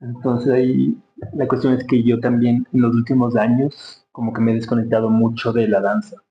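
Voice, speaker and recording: A man's voice: medium pitch, level tone, moderate pace. A man with an Ecuadorian accent talking casually as an interview guest, informal and reflective, thinking aloud. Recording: roomy, dull sound with faint hum.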